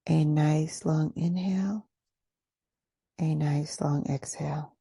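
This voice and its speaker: A woman leading a guided meditation in a soft, breathy voice, soothing and unhurried, pausing between short phrases. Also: sits low, fairly even intonation, no echo, studio quality. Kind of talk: guided meditation, gentle scripted instruction.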